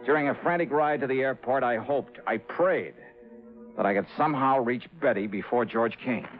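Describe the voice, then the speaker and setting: An American man playing a brisk, wry insurance investigator in a clipped 1950s detective radio drama style. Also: mid-range voice, close to an everyday voice. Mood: tense narration. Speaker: lead actor and narrator. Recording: tinny, band-limited old radio recording, faint music.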